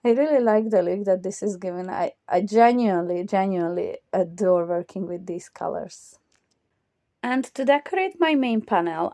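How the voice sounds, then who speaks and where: A woman talks through her craft project in a chatty, enthusiastic way, her mellow voice now and then strained as it recovers. She is recorded close and dry, with no room sound around her. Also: mid-range voice, dry recording. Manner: chatty, enthusiastic, step-by-step explaining. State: voice recovering from loss, occasionally strained. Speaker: craft tutorial host. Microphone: close voiceover mic.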